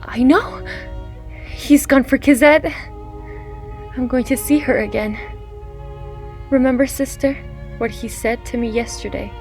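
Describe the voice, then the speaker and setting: A woman's voice: high-pitched, steady tone, unhurried, long pauses, a little mellow, faint music behind. A woman playing a sick, fragile young mother in a period audio drama, speaking in a plaintive, earnest way. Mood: hopeful, elated. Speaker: actor. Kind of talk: radio-style period drama acting.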